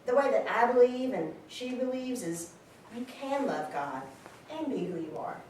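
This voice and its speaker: A woman playing a candid, warm-hearted friend in a casual monologue at a staged reading. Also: fairly high pitch, expressive, moderate pace, lightly acted. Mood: fond, candid. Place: live staged reading in a large room. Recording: very echoey hall, distant mic, faint noise.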